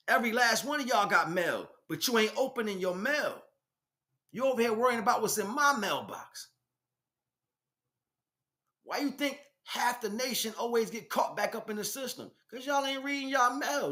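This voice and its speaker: High-pitched, bright-voiced American man preaching. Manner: emphatic, streetwise slang, direct address to listeners. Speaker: spiritual teacher. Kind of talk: informal spiritual monologue, preaching.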